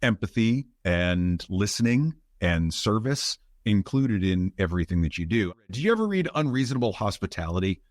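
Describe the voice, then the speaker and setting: A man hosting a conversational interview, speaking in a reflective, articulate way with measured phrases. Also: fairly low pitch, very animated, dry recording. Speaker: podcast host, interviewer.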